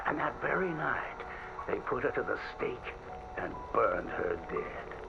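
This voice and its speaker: A man's voice: mid-range voice, very animated, unhurried, vocal fry, lo-fi sound, background noise, exaggerated voice. A man acting as a folksy storyteller, telling a spooky cautionary tale with dramatic, old-fashioned diction. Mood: dramatic, foreboding. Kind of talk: theatrical radio-style storytelling. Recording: band-limited old radio sound, loud music behind.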